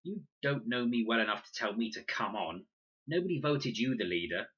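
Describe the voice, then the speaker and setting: A man voicing a sharp, distrustful young girl in an adventure storybook, challenging and argumentative. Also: medium pitch, lively intonation, moderate pace, slightly rough, lo-fi sound, voice pushed up.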